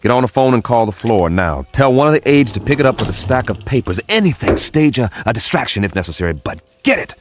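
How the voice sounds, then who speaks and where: An actor playing a weary but determined government codebreaker in a radio drama, with an emphatic, wry manner. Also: male voice, medium pitch, sing-song, fast talker, few pauses, a little hiss or hum, natural voice. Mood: urgent, determined.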